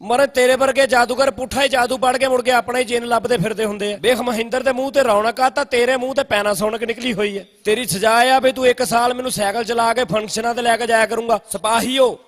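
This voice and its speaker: Man voicing a very high-pitched blustering cartoon king. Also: fairly even intonation, quick pace, hardly any pauses, fairly bright tone. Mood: mocking. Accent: Punjabi. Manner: mocking, bossy. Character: blustering, mocking king, fond of threats and insults. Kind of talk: comic cartoon dub. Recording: music behind the voice. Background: music.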